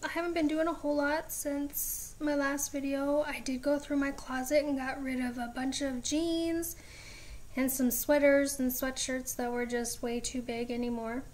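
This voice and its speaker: A woman vlogging a casual update, chatty and rambling with frequent ums, her voice raspy at times. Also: sits high, steady tone, normal speed, darkish tone.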